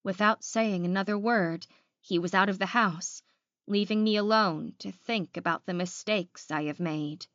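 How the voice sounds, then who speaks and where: A woman's voice: mid-range voice, unhurried, fairly bright tone, dry recording, muffled recording. A woman reading scripted fiction as an audiobook narrator.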